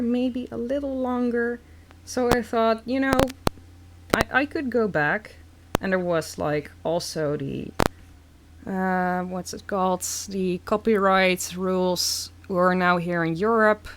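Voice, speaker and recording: A young Dutch woman with a Dutch accent, chatting casually and haltingly while reading comments on a live stream. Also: medium pitch, background noise. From Netherlands. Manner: slow, halting, many fillers.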